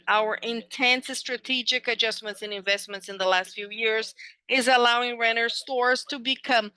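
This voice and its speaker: A woman working as a live interpreter on a corporate earnings call, delivering it haltingly, phrase by phrase. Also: on the high side, sharp tone, clean tone, dry recording.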